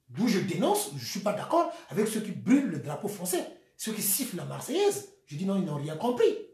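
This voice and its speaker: A man from the Republic of the Congo with a Congolese French accent, giving an impassioned political monologue, swinging between shouts and quiet bitter asides. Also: high-pitched, roomy sound. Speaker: activist vlogger.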